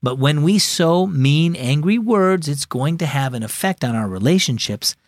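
A man voicing a calm, patient mother who gently teaches her daughter, in a children's storybook reading. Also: a little high, normal speed, dry recording, clean studio sound. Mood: gentle.

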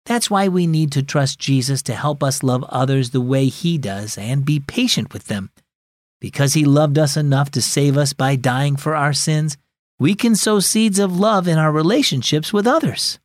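A man voicing a calm, patient mother who gently teaches her daughter, in a children's storybook reading. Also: a little high, moderate pace, dry recording, clean studio sound, close to an everyday voice. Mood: gentle.

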